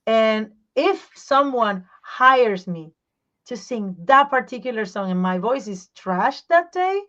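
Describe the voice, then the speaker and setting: Animated woman voice coach, slightly dull audio. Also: on the high side, takes it slowly. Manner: animated, explains with examples and laughs; searches for English words, restarts phrases. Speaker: voice teacher and singer, podcast guest. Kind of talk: casual interview talk.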